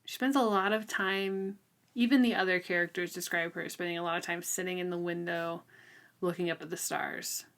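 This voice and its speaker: Woman chatting about a book, slightly low voice, informal, says like a lot. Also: steady tone, a little fast, gravelly, a bit bright. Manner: informal, hedging, often says like. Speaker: co-host of a book discussion show. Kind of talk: casual book discussion chat.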